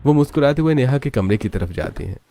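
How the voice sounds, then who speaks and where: A man's voice: medium pitch, expressive, fast talker, mellow, a little hiss or hum. A man narrating a scripted family cartoon story.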